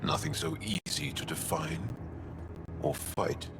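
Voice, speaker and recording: A grave alien resistance fighter for a video game, very deep and slow. The delivery is solemn and guarded, swinging expressively in measured, dramatic phrases over prominent music. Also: male voice, expressive, exaggerated voice. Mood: haunted. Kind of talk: video game cutscene acting.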